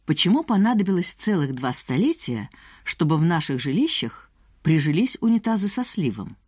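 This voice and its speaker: Female audiobook narrator, scripted nonfiction, animated intonation, articulate, dry studio-like sound. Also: on the low side, normal speed, husky, no echo.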